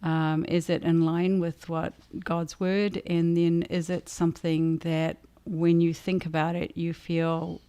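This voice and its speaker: A woman co-hosting a livestream as an author, chatting casually and answering audience questions in a thoughtful, halting way. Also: lowish voice, level tone, warm tone, smooth.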